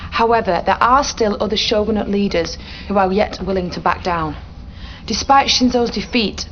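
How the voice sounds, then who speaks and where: An actress playing a polite, businesslike Allied military officer delivering mission briefings in a game cutscene. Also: female voice, mid-range voice, a bit bright, lo-fi sound, slight background noise, lightly acted.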